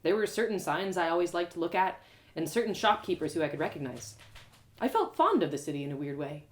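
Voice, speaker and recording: A woman reading a first-person fantasy story aloud as a live storyteller, expressive and with dramatic pauses. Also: lowish voice.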